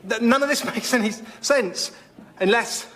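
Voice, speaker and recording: A man's voice: very high-pitched, measured pace, heavy background noise. A man playing a fussy, earnest, slightly pompous fellow in radio sketch comedy, articulate but hesitant. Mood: anxious, puzzled. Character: fussy, earnest storyteller and anxious exam-taker.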